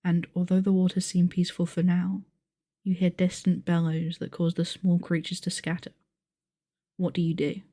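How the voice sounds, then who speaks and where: A woman playing a calm, bookish young monster game master in an audio roleplay, measured, articulate and formal. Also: fairly low pitch, dry recording, clean studio sound. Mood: theatrical narration. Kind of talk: acted audio roleplay, narrating a tabletop game.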